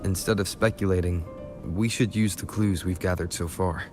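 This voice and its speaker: An actor playing a calm, level-headed adventurer in a video game, with a composed, serious and reassuring delivery. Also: male voice, low voice, moderate pace, natural voice. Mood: resolute. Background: loud game music.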